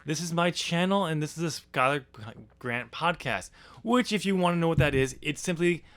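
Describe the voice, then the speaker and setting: A man's voice: a little high, animated, normal speed, fairly bright tone. A man chatting casually and unscripted as a podcast host, with a jokey, self-deprecating manner.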